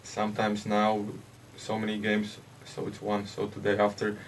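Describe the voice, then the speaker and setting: A Latvian man, a hockey player, answering interview questions in English with a Latvian accent, haltingly and with many hesitations. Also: lowish voice, monotone. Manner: halting, hesitant, with frequent "uh" and restarts. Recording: distant mic with faint background noise.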